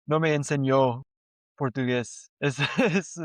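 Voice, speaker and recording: A man speaking learner Spanish at an unhurried pace. His voice is clear and clean, and the sound is dry and close. He talks hesitantly, restarts often and fills gaps with quick yeses. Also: mid-range voice, dry recording. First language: English. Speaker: podcast co-host. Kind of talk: casual conversation in learner Spanish.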